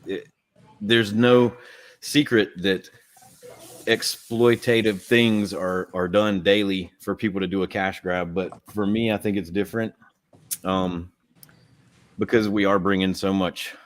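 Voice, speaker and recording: A man's voice: low-pitched, steady tone, unhurried. A man, chatting casually and rambling on a livestream about a comic he co-created. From Southern US. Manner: rambling, heavy use of "like".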